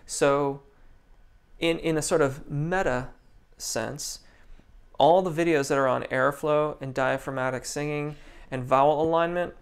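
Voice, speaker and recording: A man's voice: on the high side, fairly even intonation, unhurried, clear, resonant tone. A man, a vocal coach, answering viewers' questions on a live stream, thoughtful and full of restarts and ums. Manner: reads viewer comments aloud.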